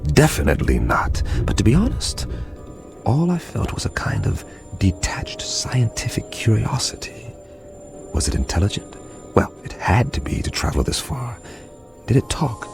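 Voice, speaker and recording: Wry radio-drama narrator; low, gravelly, lively pitch, music under. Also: male voice, exaggerated voice. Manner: wry, reflective storytelling. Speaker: narrator and character, Mr. Chambers.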